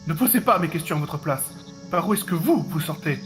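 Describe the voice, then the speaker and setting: A man's voice: a little high, expressive, a little fast, over music, lightly acted. An actor playing a frantic, muddled madman who rambles and talks to himself in an audio drama. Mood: frantic warning. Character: frantic, muddled madman with a machete, warning off intruders.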